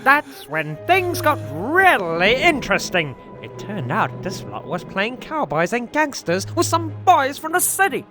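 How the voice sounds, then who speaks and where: A woman's voice: on the high side, sing-song, a little slow, few pauses. A woman playing a chatty, wry storyteller recounting gangster exploits in a scripted audio drama. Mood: wry, eager. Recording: music under the voice. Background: music.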